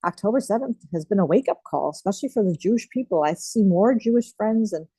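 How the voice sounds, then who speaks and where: A woman's voice: medium pitch, animated, quick pace, husky, no room sound. A woman hosting an online group share over a video call, chatting casually with many fillers. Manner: warm, chatty, frequent fillers. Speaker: group host.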